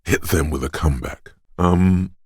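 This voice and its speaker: A man voicing an alert, streetwise inner voice of quick reflexes in a video game, narrating dramatically and knowingly to the listener, very deep, gravelly and husky. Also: dry recording, clean studio sound. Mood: shrewd, probing. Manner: knowing, conspiratorial, addresses listener as you.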